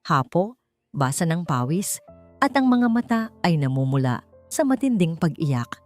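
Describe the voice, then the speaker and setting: A woman reading a dramatic scripted story in a solemn, measured, theatrical way. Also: sits low, big pitch swings, a little mellow. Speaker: storyteller, narrator. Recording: dry voice over background music. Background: music.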